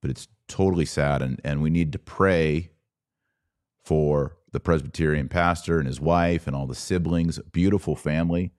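A man hosting a podcast, giving solo commentary on the news in an earnest, emphatic way with short, deliberate phrases. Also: very deep voice, a little mellow.

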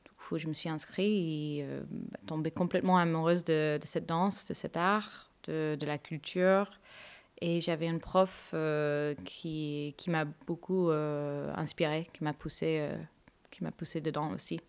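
A woman from Canada, a flamenco dancer, answering radio interview questions softly with many hesitations. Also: sits low, steady tone, measured pace, smooth. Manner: soft-spoken, many hesitations, light laughs.

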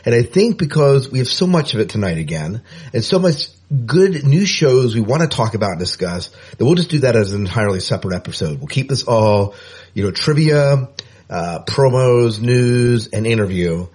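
A man's voice: lowish voice, animated, brisk, a little mellow, slightly rough. A man co-hosting a podcast, chatting in an upbeat, rambling way with asides. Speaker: podcast co-host. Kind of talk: casual podcast chat.

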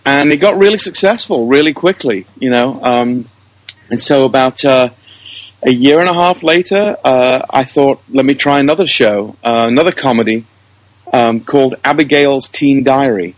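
A man telling his story casually as an interview guest, often halting to search for words. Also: medium pitch, no echo. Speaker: interview guest, comedy producer.

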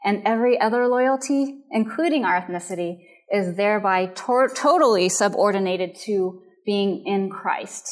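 A woman delivers a recorded teaching on counseling in an earnest, measured way. She explains each point step by step, at a middle pitch for a woman. Her background is Japanese and American. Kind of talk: recorded lecture.

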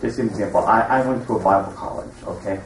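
A man's voice: on the low side, fast talker. A man commenting from the audience, emphatic and repeating words for stress. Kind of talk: spoken comment with personal example. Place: room with an audience. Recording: distant, echoey, noisy room pickup.

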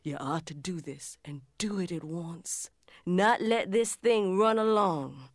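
A woman playing a dignified soldier's mother from the Civil War era, pleading firmly and deliberately in a theatrical reading of a letter. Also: fairly low pitch, dry recording, studio quality. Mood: indignant, urgent. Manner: deliberate, emphatic, imploring. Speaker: actor in a dramatic reading.

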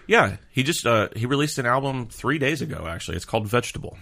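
A man co-hosting a podcast, chatting in a relaxed way and reminiscing about music clubs he played. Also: mid-range voice, fast talker, vocal fry. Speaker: podcast co-host. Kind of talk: casual chat.

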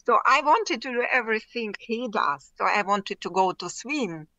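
Woman guest, Russian accent, bright clear tone, dry close sound, restarts often. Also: a little high, lively intonation, dry recording, low-quality recording. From Ukraine. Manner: halting non-native English, frequent restarts, laughs often. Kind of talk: casual interview chat.

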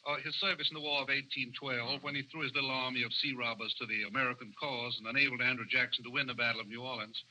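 A man's voice: medium pitch, fairly even intonation, fast, few pauses, pushed and bright, lo-fi sound, a little hiss or hum. A man speaking as a guest historian in a scripted 1930s radio interview. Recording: vintage 1930s radio broadcast recording.

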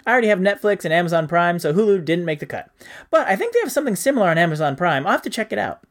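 A man's voice: high voice, sing-song, racing. A man hosting a podcast, answering listener mail in a playful, casual way. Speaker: podcast host.

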